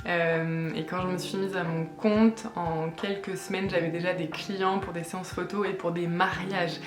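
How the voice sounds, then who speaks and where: A woman's voice: on the low side, steady tone, normal speed, echoey room, faint music behind. Chatty French woman in her late twenties. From France. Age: 20s. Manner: chatty, hesitant with many fillers. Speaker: vlogger. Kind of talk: casual vlog chat.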